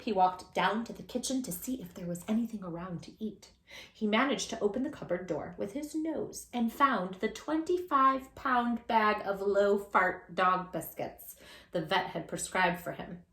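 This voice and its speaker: A woman reading a picture book aloud for children in an animated, playful way, talking directly to young listeners. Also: medium pitch, roomy sound. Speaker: children's storytime host.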